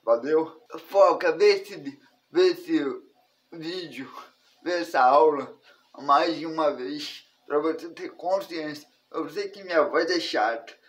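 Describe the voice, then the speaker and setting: A Brazilian man in his thirties teaching an online lesson to camera, speaking haltingly in short phrases. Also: fairly high pitch, rough recording. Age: 30s. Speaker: personal finance instructor. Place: indoors in a furnished room at home.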